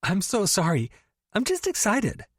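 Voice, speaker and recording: A man voicing an excitable young woman best friend who squeals and gushes over video chat in an audiobook. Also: a little slow, husky, no room sound, studio quality, full character voice. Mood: gushing. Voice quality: shrill, squealing. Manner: bubbly, breathless gushing. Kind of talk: audiobook character voice.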